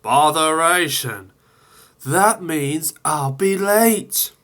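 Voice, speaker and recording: A man voicing a cheeky, bustling male tank engine who talks in a hurried, brisk way. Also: a little high, a bit bright, pitched above the performer's own voice. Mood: impatient.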